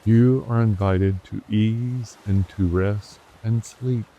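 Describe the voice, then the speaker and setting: Very deep, slow, soothing male meditation voice. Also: steady tone, phone-quality sound. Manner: slow, soothing, hushed delivery. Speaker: meditation guide. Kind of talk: guided sleep meditation. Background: soft ocean waves and stream.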